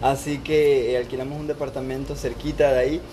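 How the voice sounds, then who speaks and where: A man's voice: mid-range voice, little pitch movement, brisk, background noise. A man, chatting casually and telling personal stories as an interview guest. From Panama, born in Costa Rica. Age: 20s. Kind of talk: casual conversation, personal storytelling.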